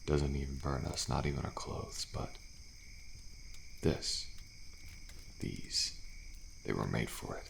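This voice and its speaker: A jaded, thrill-seeking survivor played by a man rumbles in a very deep, heavily gravelled voice. He drawls slowly and lazily, pausing and sighing, in an intimate audio roleplay. Crickets and faint campfire noise sit behind him. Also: a bit bright, heavily acted. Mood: resigned, musing. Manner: slow, drawling, laid-back, with pauses and sighs. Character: weary, thrill-seeking male survivor of endless killer trials. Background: campfire ambience with crickets.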